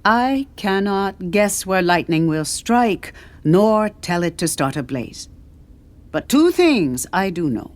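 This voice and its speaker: Woman voicing a slow, weary old female historian. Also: medium pitch, sing-song. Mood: quiet authority. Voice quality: a little cracked and weary. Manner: measured, oratorical storytelling. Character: aged woman historian, cheerful, mischievous, quietly authoritative.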